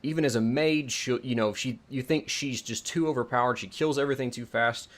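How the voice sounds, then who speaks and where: A man's voice: mid-range voice, a little fast. A man giving a casual, unscripted video game tutorial, talking informally and rambling as he corrects and second-guesses himself. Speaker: video host and mod creator demonstrating a game mod.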